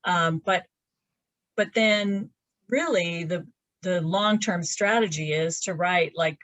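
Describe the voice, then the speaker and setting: A woman's voice: fairly low pitch, takes it slowly, a bit bright, dry recording. A woman in her fifties, a romance author, chatting casually in a video-call interview from her laundry room, rambling with many fillers and restarts. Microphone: webcam on a video call.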